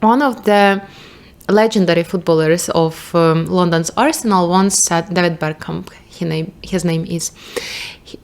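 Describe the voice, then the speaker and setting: A woman's voice: lowish voice, steady tone, a little slow. A young woman with a Ukrainian accent, talking casually to camera as a vlogger, thinking aloud in a rambling way with restarts and the odd swear. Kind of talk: casual reflective monologue.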